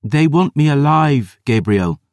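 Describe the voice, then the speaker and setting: A man's voice: fairly high pitch, expressive, a little slow, a little mellow, dry recording, studio quality, pitched above the performer's own voice. A man voicing a stubborn, defiant teenage girl. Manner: insistent, defiant.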